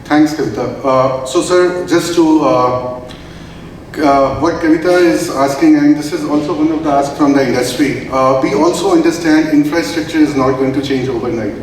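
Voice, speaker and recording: A man's voice: on the high side, moderate pace, slight background noise. A man moderating a panel at a public event, speaking formally with many fillers. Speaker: industry representative moderating a panel. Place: large event hall. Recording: echoey hall, heard from a distance.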